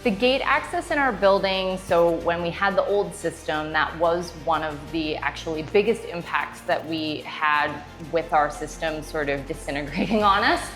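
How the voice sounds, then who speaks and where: A woman's voice: lowish voice, normal speed, few pauses, a bit bright. A woman giving a customer testimonial in a casual, explanatory, conversational interview style. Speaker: customer giving a testimonial, strata council member. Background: background music.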